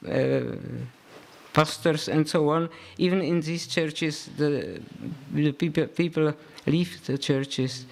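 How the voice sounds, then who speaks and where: Man, professor, conference talk, halting, repeats words, somewhat high voice. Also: vocal fry. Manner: halting, repeats words while searching for them. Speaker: professor, panel speaker.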